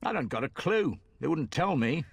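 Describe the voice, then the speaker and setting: A man's voice: fairly high pitch, very animated, normal speed, gravelly, slightly rough, no echo, exaggerated voice. An actor playing a plain-spoken, folksy commoner ferryman in a fantasy video game, chatting in rustic, colloquial speech. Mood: baffled, grumbling.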